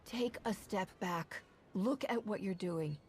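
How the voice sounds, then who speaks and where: An actress playing a resolute female soldier hero in a video game, speaking firmly and calmly. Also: medium pitch, takes it slowly, slight background noise, close to an everyday voice. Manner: firm and calm.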